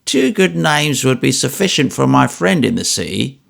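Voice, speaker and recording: A man's voice: medium pitch, very animated, normal speed, gravelly, a bit bright, full character voice. A man voicing a grasping, crippled old miser who gasps and wheedles, out of breath. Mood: sly. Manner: wheedling, gasping, sputtering insults.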